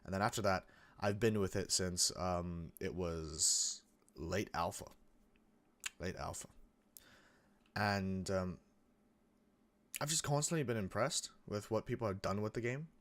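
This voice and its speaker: Rambling young man, a bit croaky. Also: low voice, measured pace, long pauses, a bit bright. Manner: rambling, many restarts and fillers. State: getting sick, a bit croaky. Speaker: gaming channel host. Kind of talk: casual gameplay commentary.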